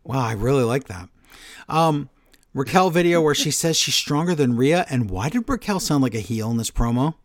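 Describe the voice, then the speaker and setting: A man co-hosting a podcast, recapping wrestling shows in an animated, storytelling way and acting out what the wrestlers said. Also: mid-range voice, moderate pace, no echo, clean studio sound. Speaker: podcast co-host. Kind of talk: casual wrestling recap chat.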